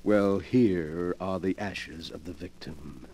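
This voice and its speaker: A low male voice belongs to a sly invalid gentleman in a vintage radio mystery. He relishes every word with exaggerated courtesy and ghoulish, theatrical pleasure. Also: takes it slowly, slight background noise. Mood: unctuous, pleased. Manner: effusively polite, theatrical, relishing his words. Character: sly, morbid invalid gentleman gloating over macabre collection. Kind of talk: 1940s radio mystery acting. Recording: vintage 1940s radio broadcast.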